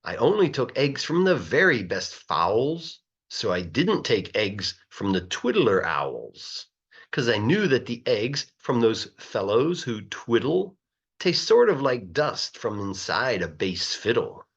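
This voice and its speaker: A man reading a children's rhyming story aloud in a playful, rhythmic, expressive way, like a teacher. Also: medium pitch, takes it slowly, vocal fry, husky, highs cut off. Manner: animated, playful, rhythmic verse delivery.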